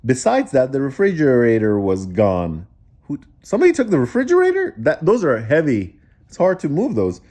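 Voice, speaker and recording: Low-voiced, animated woman reading a story. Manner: expressive, incredulous commentary. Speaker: storybook reader. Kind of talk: read-aloud children's story with casual asides.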